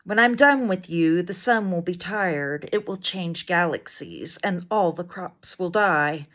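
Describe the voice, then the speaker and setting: A woman reading poetry aloud as dramatic spoken word, in an intense, deliberate delivery of short, weighted phrases. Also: lowish voice, fairly bright tone. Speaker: poet reading poems aloud.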